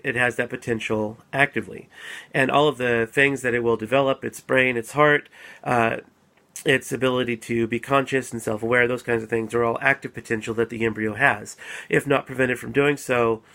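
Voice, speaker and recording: Guy with a gravelly voice still getting over a cold, talking it through slow and measured, lots of ums. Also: mid-range voice, a bit bright. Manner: measured, explanatory, with frequent fillers and restarts. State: recovering from a cold. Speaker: apologetics speaker. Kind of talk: casual solo video talk. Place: at home.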